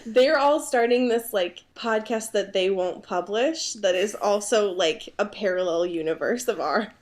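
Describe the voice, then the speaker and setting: A woman's voice: mid-range voice, measured pace. Casual, slangy woman podcaster. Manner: self-mocking, slangy, swears freely. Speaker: podcast co-host. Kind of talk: casual comedic book discussion chat.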